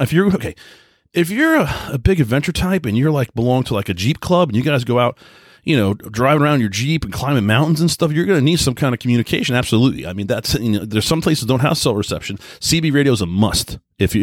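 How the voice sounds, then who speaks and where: A man's voice: medium pitch, no room sound, studio quality. A man hosting a casual solo podcast, talking in a rambling, informal, conversational way. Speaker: podcast host. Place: indoors at home by a computer.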